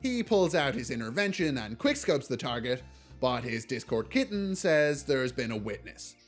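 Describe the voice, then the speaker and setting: A man's voice: mid-range voice, very animated, moderate pace, faint music behind. A man narrating a scripted video essay as a film reviewer, in a wry, casual, joking manner.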